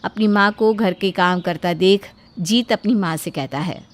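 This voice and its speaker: A woman's voice: medium pitch, measured pace. A woman giving scripted narration as a storyteller.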